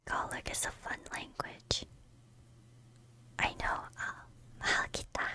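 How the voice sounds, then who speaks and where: A woman whose first language is Korean, streaming in soft unvoiced whispers, chatting hesitantly with many ums on a close microphone. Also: measured pace. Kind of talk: whispered ASMR chat with chat readers.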